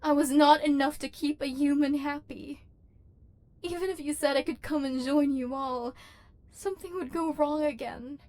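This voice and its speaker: A lonely female robot overlord, acted by a woman for an ASMR roleplay, with a fairly high voice. She talks in formal, measured machine phrasing. Under the guarded manner she sounds wounded and yearning. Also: steady tone, a little mellow, close to an everyday voice. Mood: hurt, disbelieving.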